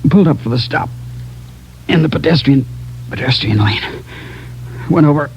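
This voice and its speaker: An actor playing a dying traffic cop, gasping in pain and speaking in broken, repeated fragments, in an old radio drama. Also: male voice, on the high side, moderate pace, darkish tone, lightly acted. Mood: delirious. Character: dying male traffic cop, shot, delirious. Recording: dull lo-fi old radio recording.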